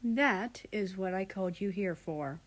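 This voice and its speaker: Woman playing a stern, measured royal father. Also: medium pitch, close to an everyday voice. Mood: stern, instructing. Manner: formal, authoritative. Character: stern royal father, commanding older king.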